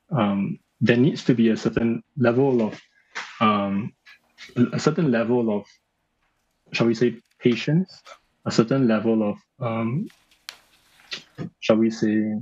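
A man in his thirties giving analysis in a news interview, speaking haltingly and repeating phrases as he searches for words. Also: mid-range voice, a little mellow, husky. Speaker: analyst guest. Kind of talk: live news interview commentary. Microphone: video call. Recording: slightly dull video-call audio.

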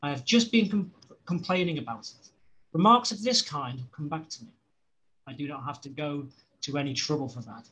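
Man, Scouse accent, slightly high voice, halting read-aloud, restarts words, video call. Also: a bit bright, rough recording. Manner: halting reading with restarts and self-corrections. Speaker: study group member reading aloud. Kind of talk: reading a seminar text aloud.

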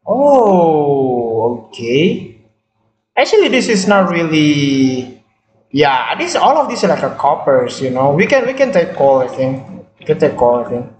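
A man chats loosely while he plays, restarting phrases and repeating himself as he thinks aloud. His voice sits a bit high, and the room around him gives it a noticeable echo. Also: very animated, unhurried, a little mellow. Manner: rambling, filler-heavy, repeats words. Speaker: gaming channel host. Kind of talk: casual gameplay chat.

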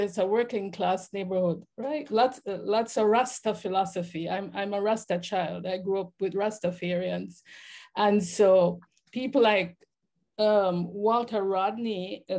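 A middle-aged woman with a Jamaican accent, speaking on a panel over a video call, telling her story in an animated way. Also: medium pitch. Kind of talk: reflective personal account on a panel. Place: home room, indoors. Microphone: laptop webcam video call.

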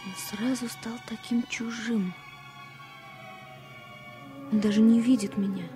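An actress playing an earnest, worried woman in love in a radio drama, confiding in a hushed, halting way. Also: fairly high pitch, fairly even intonation, faint music behind. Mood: hurt, anxious. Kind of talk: radio play acting, 1970 Soviet radio drama.

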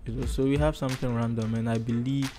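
A man's voice: medium pitch, level tone, fast talker, dark tone. A man from Ghana with a Ghanaian accent hosting a casual tutorial, chatty and rambling as he thinks aloud while working. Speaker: tutorial host. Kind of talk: casual screen-recorded software tutorial. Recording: music playing behind the voice. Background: music.

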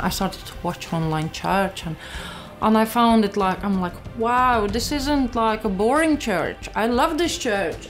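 A woman in her thirties with a Latvian accent, giving a candid, halting personal testimony. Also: medium pitch. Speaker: church member giving testimony. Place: small living room. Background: background music.

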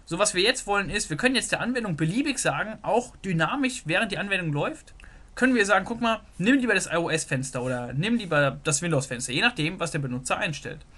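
A man's voice: sits high, expressive, fast talker, pushed and bright. A man teaching a programming lesson over a screen recording. He thinks aloud while he sketches, with many "so" fillers. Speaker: programming tutor.

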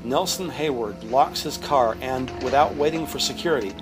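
A man's voice: mid-range voice, normal speed. Male drama narrator over music. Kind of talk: scripted audio drama narration, descriptive. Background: prominent music.

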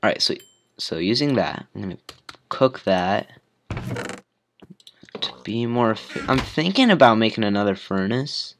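A teenage boy with a hoarse voice from a cold, rambling casually with plenty of fillers while commenting on his building game. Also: fairly low pitch, animated, normal speed, pauses often, slight background noise. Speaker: gamer.

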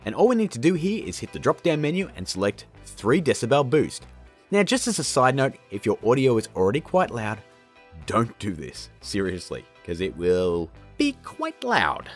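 A man in his thirties with a husky, mid-pitched voice presents a how-to video. His delivery swings widely in pitch, informal and jokey, with stress on key words. Faint music plays behind him. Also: wildly swooping pitch, moderate pace. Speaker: video tutorial host. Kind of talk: casual software tutorial narration.

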